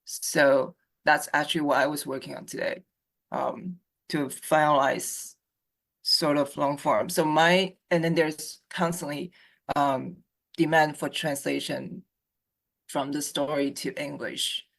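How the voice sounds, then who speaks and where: A copyright consultant with a gravelly, creaky voice talks in a casual group chat. Their speech is halting, full of restarts and fillers. The pitch sits in a middle range. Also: flat delivery, a bit bright, poor audio.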